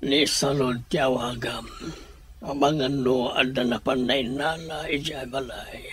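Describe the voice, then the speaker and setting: An actor playing an uneasy man of the household in a radio drama, raspy and breathy, with an Ilocano Filipino accent. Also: medium pitch, fairly even intonation, a little slow. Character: uneasy man of the household urging a phone call.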